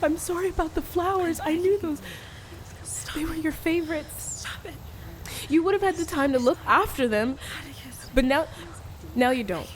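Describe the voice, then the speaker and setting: A woman acting as an anguished, fragile young daughter in a radio play, halting and pleading as she speaks. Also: high voice, natural voice. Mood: grieving, apologetic. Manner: halting, breathless pleading with repeated words.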